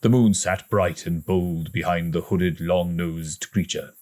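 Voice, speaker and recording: A man reading a story aloud as an audiobook narrator, in a dramatic, expressive storytelling manner. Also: low-pitched, measured pace. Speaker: audiobook reader.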